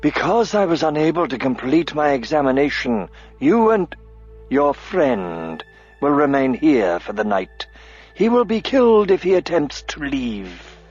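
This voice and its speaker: Guy putting on a harsh bald interrogator, high strained voice, slow and curt, kinda lo-fi with faint music. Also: very animated, a little mellow, slightly rough, full character voice. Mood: cold, furious. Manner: harsh, curt, clipped threats. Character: cold, ruthless bald male mind-reader, furious.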